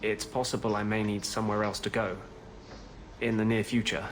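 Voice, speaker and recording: A man voicing a brooding, devoted fugitive mage in love in a fantasy video game, speaking earnestly and haltingly. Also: fairly low pitch, level tone, slight background noise, natural voice. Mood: hesitant. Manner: earnest, halting, intimate delivery.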